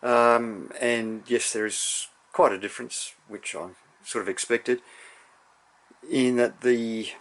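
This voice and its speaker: A man giving an unscripted technical demonstration, explaining in a measured, somewhat hesitant way. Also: medium pitch, steady tone, a bit bright, rough recording. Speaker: electronics hobbyist presenter.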